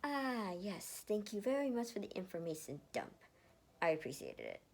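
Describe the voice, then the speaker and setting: A woman's voice: medium pitch, huge pitch swings, moderate pace, creaky, darkish tone, voice pushed up, exaggerated voice. A sarcastic young woman warrior in disguise, acted by a woman reading a story, has an anxious streak. Her talk is wry and emotional.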